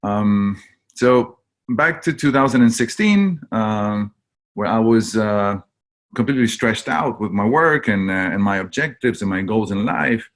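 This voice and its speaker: A man's voice: fairly low pitch, lively intonation, a little slow. A man from Argentina, a webinar guest telling his own story in a candid, rambling way with many fillers.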